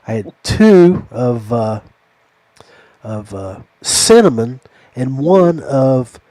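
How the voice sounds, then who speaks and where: A man's voice: medium pitch, darkish tone, no room sound. A man chatting casually as a podcast host, telling stories in a rambling, folksy way. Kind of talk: casual chat, storytelling.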